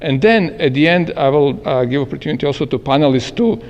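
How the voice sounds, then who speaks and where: Halting man moderating in an echoey hall. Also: fairly high pitch, expressive, darkish tone, highs cut off. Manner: halting, many filler uhs. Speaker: panel moderator. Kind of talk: live event introduction. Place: meeting room at an event. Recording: echoey hall with long reverb.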